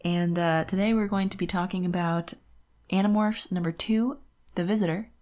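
A woman's voice: lowish voice, fairly even intonation, normal speed, a little mellow. A young adult woman co-hosting a podcast, chatting casually about books. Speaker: podcast co-host. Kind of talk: casual book discussion chat.

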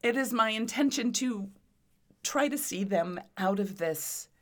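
A woman's voice: on the high side, lively intonation, a little slow. A middle-aged woman in casual conversation, with a big voice, speaking earnestly and emphatically about herself and her adult children. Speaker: conversation partner. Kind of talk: casual chat.

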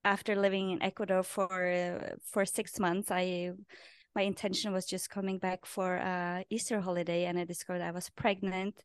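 Smooth-voiced woman from Norway. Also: fairly low pitch, level tone, normal speed, no room sound. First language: Norwegian. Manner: earnest, repeats words as she restarts. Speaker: podcast guest, life purpose coach. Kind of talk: casual storytelling interview.

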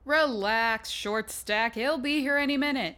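A woman's voice: a little high, measured pace, noisy background, close to an everyday voice. A woman playing an irritable, cheeky bird guarding a door in a comic audio drama.

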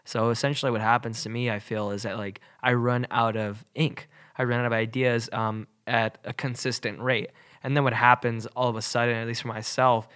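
A man's voice: medium pitch, flat delivery, a little fast, few pauses, a bit bright, clean tone. Young man, nasal from a cold. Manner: rambling, many fillers like "like" and "um". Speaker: podcast co-host. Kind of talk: casual podcast chat.

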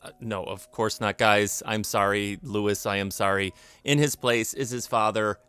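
A man's voice: lowish voice, fairly even intonation, normal speed, pushed and bright, clean tone. A man hosting a comedy podcast with casual banter, easygoing and reassuring, a little hesitant. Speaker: podcast host.

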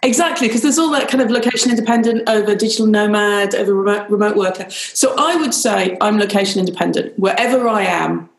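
A middle-aged woman with a British accent talks in a chatty, animated way, often calling things rather lovely. Her voice carries a gravelly fry. She sounds like she's on a video call in an echoey room. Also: mid-range voice, quick pace, hardly any pauses, a little hiss or hum. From Ireland, raised in Pakistan and Nigeria. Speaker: business strategist guest. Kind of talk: conversational interview.

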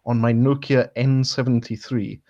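A man hosts a podcast interview and talks in a friendly but hesitant way, stumbling and restarting as he goes. His voice sounds dull and lo-fi, with a dry, close feel and middling pitch. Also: steady tone, moderate pace, mellow, dry recording. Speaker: podcast host. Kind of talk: casual interview.

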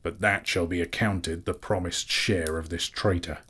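A proud dwarf king, acted by a male storybook reader, deep and gruff. He declaims slowly, with bitter scorn and an imperious temper, as in a dramatic fantasy tale. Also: fairly even intonation, sharp tone, full character voice. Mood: grim, bitter.

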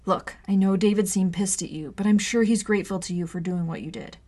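A woman voicing a gentle, caring teenage boy who speaks quietly and hesitantly. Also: mid-range voice, brisk, close to an everyday voice.

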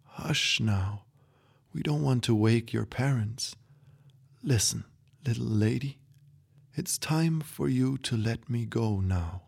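A man voicing a frail, dying old grandfather who speaks softly, slowly and tenderly in a storybook reading. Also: mid-range voice, gravelly, husky, heavily acted. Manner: soft, hushed, slow and tender.

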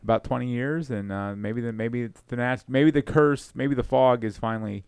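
A man's voice: medium pitch, normal speed, darkish tone, clear, resonant tone, no room sound. A young adult man hosting a casual sports podcast, rambling and losing his train of thought. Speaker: podcast host.

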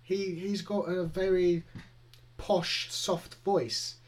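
A man's voice: sits high, steady tone, slow. Chatty Greek man, rambling casually. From Greece. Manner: chatty, rambling, frequent laughs. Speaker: podcast co-host. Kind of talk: casual chat.

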